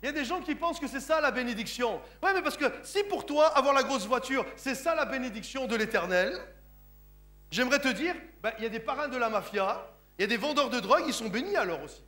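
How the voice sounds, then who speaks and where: A man's voice: very high voice, lively intonation, quick pace, bright, forceful tone. A French man of Sicilian descent, a pastor preaching a sermon in church with emphatic delivery, often asking his congregation if they understand. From France, of Sicilian parents. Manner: emphatic, repeatedly asks the congregation "Comprenez-vous?".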